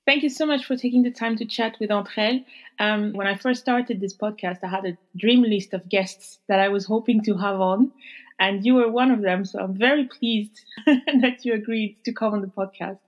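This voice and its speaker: A woman hosting an interview podcast, presenting in a measured, clear way. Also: mid-range voice, dull audio. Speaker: podcast host.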